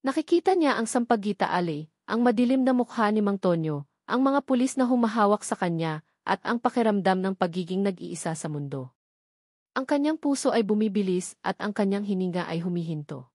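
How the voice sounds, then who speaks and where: A woman's voice: mid-range voice, animated, fairly quick, a little mellow, dry recording, studio quality. A woman reads a scripted dramatic story as its narrator.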